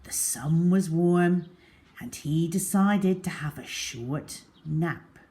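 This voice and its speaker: A woman narrating a storybook for young children in an expressive, theatrical, deliberate way, letting phrase endings drop to a hush. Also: on the low side.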